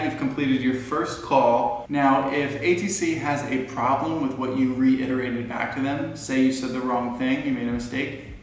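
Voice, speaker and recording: An American man in his twenties giving an instructional explanation to camera as a student pilot, recorded in a living room. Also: mid-range voice. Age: 20s. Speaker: student pilot presenting a tutorial. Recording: roomy, echoey, distant, faint noise.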